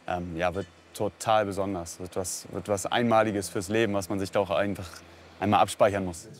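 A man's voice: sits low, fairly even intonation, a little fast. A man giving spontaneous interview answers as an amateur football player. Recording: outdoor urban noise, traffic.

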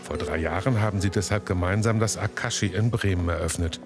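Low-voiced male TV narrator. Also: brisk. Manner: smooth, descriptive delivery. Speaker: reporter, voice-over narrator. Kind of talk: scripted TV narration. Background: music.

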